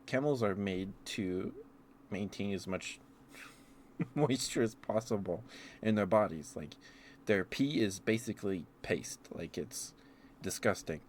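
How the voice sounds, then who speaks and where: A man's voice: on the low side, slow, a little hiss or hum. A man chatting in a meandering, casual way as he hosts an ASMR livestream. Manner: meandering, often chuckling to himself. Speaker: ASMR streamer, host. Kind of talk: rambling ASMR livestream chat.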